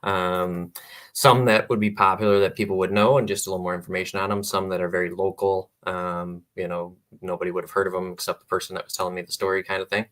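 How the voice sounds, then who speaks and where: A man, a guest author, talking in a relaxed interview with many pauses and fillers and frequent laughs. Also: sits low. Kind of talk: casual interview conversation. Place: radio or podcast interview.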